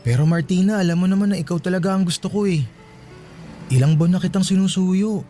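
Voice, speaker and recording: Guy acting a teen suitor boy, high voice, pleading n sincere, romance radio drama, light music. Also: fast, mellow, lightly acted. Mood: eager pleading. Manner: pleading, earnest. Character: lovestruck, persistent teenage schoolboy suitor.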